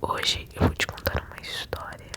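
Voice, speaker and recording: Brazilian girl whispering an asmr bedtime story, breathy, soothing, talks right to you. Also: normal speed. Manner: soothing, addresses listener directly.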